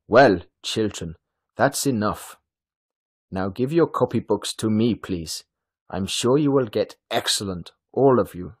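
A man's voice: on the low side, expressive, measured pace, slightly rough. A man voicing a kind-hearted but fussy woman schoolteacher in a storybook, addressing a class emphatically. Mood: kindly, pleased. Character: gullible, kind-hearted German woman schoolteacher, fussily indignant.

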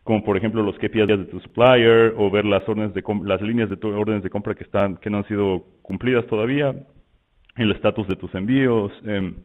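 A man from Mexico with a Mexican Spanish accent, walking through software in a loose, conversational webinar style full of fillers and restarts. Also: on the low side, fairly even intonation, fairly quick. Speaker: software presenter. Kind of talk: webinar product walkthrough. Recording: tinny phone-like line.

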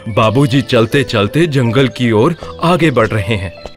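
A man narrating a scripted animated horror tale as a storyteller. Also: medium pitch, a little slow, soft background music.

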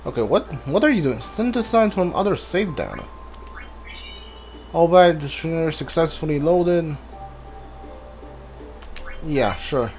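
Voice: mid-range voice, very animated, slow, long pauses, a little mellow. A video game streamer giving casual playthrough commentary, muttering to themselves while thinking aloud. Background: game music.

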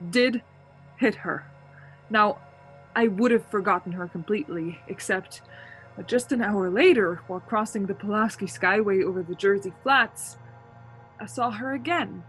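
A woman's voice: medium pitch, fairly bright tone, slightly rough, rough recording. An actress playing a frightened young traveler who insists she is sane, in a suspense radio play, hesitating and repeating words.

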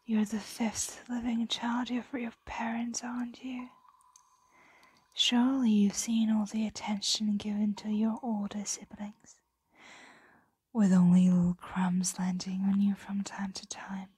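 Woman whispering as a possessive ancient dragon, very slow. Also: medium pitch, mellow, no room sound. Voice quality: breathy whisper. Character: possessive, condescending ancient dragon doting on captive royal. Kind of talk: whispered ASMR roleplay.

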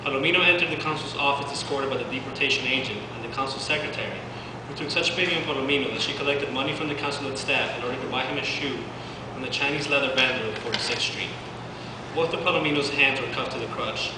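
Young man, from Colombia, author reading, measured, distant mic, loud room noise. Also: medium pitch. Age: 20s. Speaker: author reading his fiction. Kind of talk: literary reading. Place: small bookstore indoors. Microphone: far camera. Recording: distant, echoey, noisy room.

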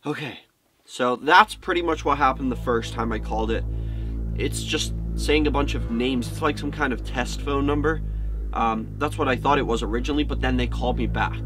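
A man in his thirties hosting an online video, casually telling a story to camera. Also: mid-range voice. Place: small indoor set, seated at a table. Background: music.

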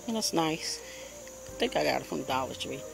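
A woman's voice: sits low, expressive, normal speed, vocal fry, over music, rough recording. A woman chatting casually while she films her backyard garden and her indoor plants on a handheld phone, in a rambling, homey way. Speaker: vlogger showing her garden. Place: backyard outdoors, then indoors by a window.

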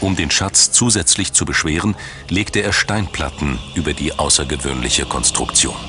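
A man's voice: a bass voice, steady tone, normal speed. A man reading scripted documentary narration as a studio voice-over. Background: music.

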